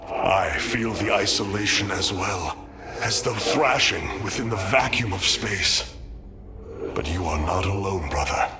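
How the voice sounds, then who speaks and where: An actor playing a noble, grave alien warrior leader in a video game, burdened by grief and speaking in formal, measured oratory. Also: male voice, sits low, animated. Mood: consoling, resolute. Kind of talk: dramatic video game acting. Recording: loud music behind.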